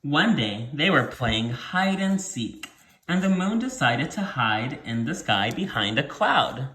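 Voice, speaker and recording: A man's voice: medium pitch, very animated, slow pace, bright, forceful tone, roomy sound. A man reading a story aloud to young children as their teacher, explaining things simply and repeating key points.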